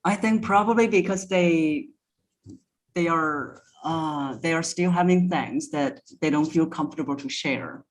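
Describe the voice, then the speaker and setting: A woman's voice: sits low, measured pace, low-quality recording. A woman speaking as a voice coach in a conversational interview, giving instructive advice step by step. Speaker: voice coach, podcast guest.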